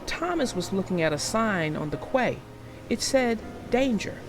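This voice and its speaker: A woman reading a children's storybook aloud in an animated storytelling manner. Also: medium pitch, normal speed, background noise. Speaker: storybook narrator.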